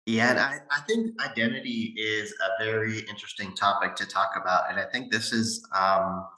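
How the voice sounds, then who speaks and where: A young man hosting a conversational interview, feeling his way haltingly through questions and comments. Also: on the low side, pushed and bright. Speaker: podcast host. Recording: echoey, roomy sound.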